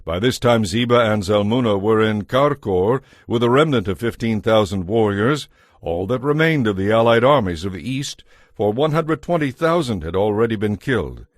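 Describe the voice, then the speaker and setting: A man narrating a scripted audio Bible as a measured, solemn storyteller. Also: lowish voice, expressive, no echo, clean studio sound.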